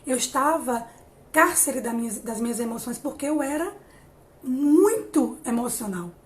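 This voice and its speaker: A woman in her late thirties speaks to a live-stream audience in an encouraging, chatty way. Her voice sits fairly high, and she often stops, repeats a word, and starts her sentence again. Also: animated, unhurried. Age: 30s. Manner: warm, encouraging, many hesitations and restarts. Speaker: psychologist hosting a live stream. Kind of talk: casual live-stream talk on emotional growth.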